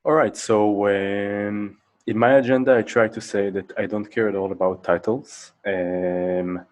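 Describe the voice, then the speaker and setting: A man, a Hebrew speaker, answering as a guest in a casual interview, talking in halting bursts with many fillers and restarts. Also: on the low side, fairly even intonation. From Israel.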